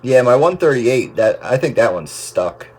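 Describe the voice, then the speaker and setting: A man's voice: medium pitch, big pitch swings, normal speed. A man chatting casually and ramblingly over the radio about equipment, trailing off as he goes. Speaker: host of an amateur radio chat. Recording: noisy radio transmission with static.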